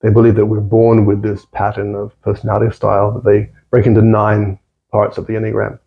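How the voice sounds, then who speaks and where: A man's voice: lowish voice, completely flat delivery, fairly quick, gravelly, narrow, tinny audio. A man with an Australian accent, a podcast guest talking thoughtfully and repeating words as he searches for phrasing. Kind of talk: reflective casual conversation.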